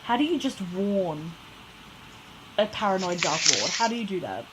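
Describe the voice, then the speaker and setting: A woman co-hosting a podcast in casual banter, playful and slangy, joking in a deadpan way. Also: medium pitch, moderate pace, heavy background noise. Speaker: podcast co-host.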